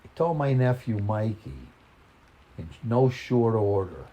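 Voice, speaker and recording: An older man reading a personal story in a folksy, plain-spoken, conversational way, with halting, reflective pauses. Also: fairly low pitch, very animated, warm tone.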